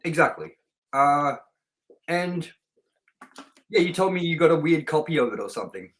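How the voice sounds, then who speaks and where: A man's voice: a little high, expressive, moderate pace, fairly bright tone. A middle-aged man hosting a film review show, talking in a casual, unscripted, chatty way that rambles through personal anecdotes.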